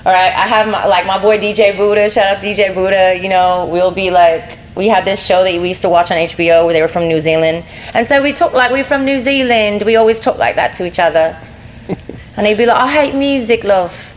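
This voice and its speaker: A woman whose first language is Spanish, chatting as a guest in a casual interview. She is animated, laughs often and slips into other voices. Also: medium pitch, a little fast, slight background noise. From North Carolina, USA.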